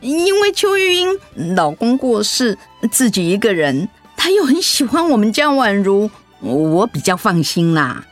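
An actress playing a sensitive, affectionate but easily hurt middle-aged wife in a radio drama, with a Taiwanese accent and a pouting, questioning manner. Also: female voice, fairly high pitch, very animated, unhurried, few pauses, soft background music. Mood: confiding.

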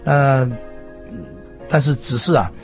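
Male preacher guest, Taiwanese Mandarin, halting phrase-by-phrase reflection in medium pitch, clear music bed behind. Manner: halting, phrase by phrase with many sentence-ending particles. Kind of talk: conversational religious commentary. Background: background music.

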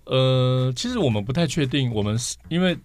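A man's voice: mid-range voice, expressive, a little fast, darkish tone. A man with a Taiwanese Mandarin accent, talking and explaining at length as a guest in a casual podcast interview. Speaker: podcast guest, vinyl record collector.

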